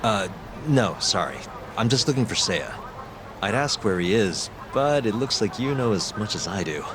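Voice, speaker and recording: A man playing a laid-back, deadpan private detective in a video game dub. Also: lowish voice, moderate pace, background noise, lightly acted. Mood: dry, polite.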